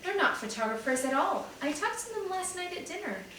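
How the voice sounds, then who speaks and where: A woman's voice: extremely high pitch, very animated, brisk, pushed and bright, slight background noise, voice pushed up, full character voice. A woman reading the part of a friendly young woman who explains things in a matter-of-fact way. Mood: explaining. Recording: very echoey room, distant mic.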